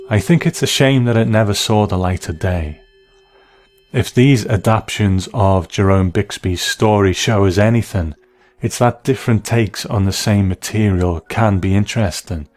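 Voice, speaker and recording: Man, deep voice, narrator, reflective scripted commentary, deliberate phrasing, soft music bed. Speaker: narrator, video essay host.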